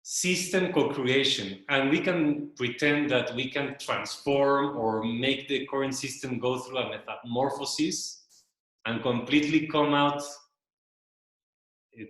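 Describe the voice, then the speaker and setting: Man, Spanish first language, slow and searching casual presenter, recorded in a very echoey room. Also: medium pitch, fairly bright tone. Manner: slow, searching, repeats words while thinking. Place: loft room with a small audience.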